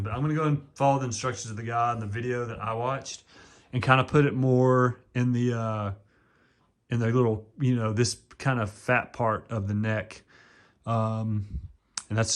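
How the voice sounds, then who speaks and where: A man from East Texas with a deep voice, rambling in a folksy way with many ums in a casual home how-to video, recorded at home on a camera mic. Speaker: vlogger.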